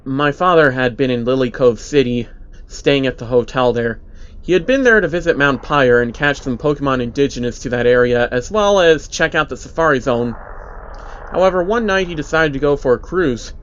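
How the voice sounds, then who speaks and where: A man's voice: mid-range voice, lively intonation, moderate pace, a bit bright, a little hiss or hum. Man narrating a story, slightly dull audio. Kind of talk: scripted dramatic story narration. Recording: dull lo-fi audio under music.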